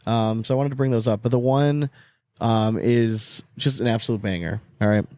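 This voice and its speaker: A man's voice: mid-range voice, fairly even intonation, normal speed, warm tone, no room sound, studio quality. A man co-hosting a sports podcast in a casual, slangy, sometimes profane way, telling stories with animation. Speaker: podcast co-host.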